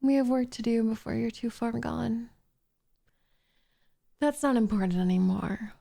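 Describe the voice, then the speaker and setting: Woman acting a soft, creaky-voiced possessive witch. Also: on the high side, a little slow. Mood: commanding, hushed. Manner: soft, coaxing, condescending, with whispered phrases. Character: possessive, lovestruck witch, coaxing and sinister. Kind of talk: ASMR roleplay acting.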